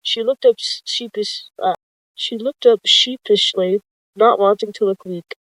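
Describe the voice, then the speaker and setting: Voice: medium pitch, fairly even intonation, a little slow, a bit bright, no room sound, poor audio. A narrator reading out a text roleplay of animal characters, sometimes stumbling over words and correcting themself. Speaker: narrator of an online warrior cats roleplay video.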